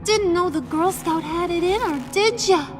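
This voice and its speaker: An actress playing a cocky, teasing clownish villainess in a video game, sassy and mock-sweet. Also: female voice, very high voice, moderate pace. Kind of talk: dramatic video game acting. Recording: dramatic music under the voice.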